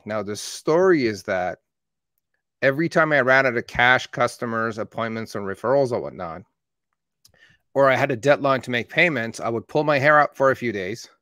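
A man in his thirties, a marketing agency owner from Canada who was born in Iran, telling his story in steady, matter-of-fact conversation. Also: medium pitch, no room sound, clean studio sound. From born in Iran, raised in Canada. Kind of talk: casual conversation.

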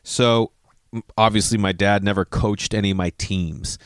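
A man's voice: lowish voice, fairly even intonation, normal speed, fairly bright tone, smooth, no room sound, clean studio sound. A man in his forties telling a rambling personal story, often losing his thread and correcting himself. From Massachusetts. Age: 40s. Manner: digressive, self-correcting, swears casually.

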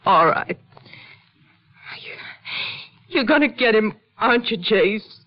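An American actress playing a grieving but hospitable sheriff's widow in a 1950s radio drama, folksy and halting in her speech. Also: a little high, lively intonation. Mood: anxious, resolute. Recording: dull old radio recording.

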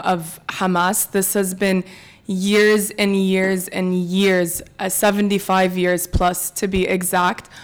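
Smooth, flat-voiced woman from California. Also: medium pitch. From United States, California. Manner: soft-spoken, many fillers. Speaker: podcast guest. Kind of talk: casual interview talk.

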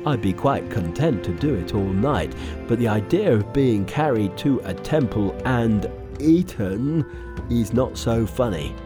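A man's voice: mid-range voice, expressive, unhurried, over music, lightly acted. A man voicing a foolish, reluctant pig-man in a storybook reading, always complaining and protesting. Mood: grumbling.

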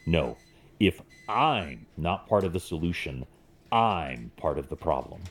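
A man acts out a stern billionaire vigilante for an audio drama. His voice sits very low, yet it moves with lively intonation as he delivers measured, deliberate speeches with principled resolve. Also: exaggerated voice.

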